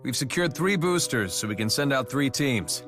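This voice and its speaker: An actor playing a wry, worldly fantasy party member in a video game, with a casual, dry manner. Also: male voice, medium pitch, expressive, normal speed, lightly acted. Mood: matter-of-fact. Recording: loud music behind the voice. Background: loud background music.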